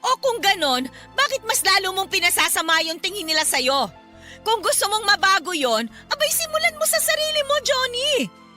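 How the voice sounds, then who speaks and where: A defiant Filipino wife with a very high, piercing voice. She scolds with heated, emphatic radio-melodrama emotion, over a music bed. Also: very animated, moderate pace, bright, forceful tone, full character voice. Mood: angry, reproachful. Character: fed-up, defiant wife and mother berating drunken husband.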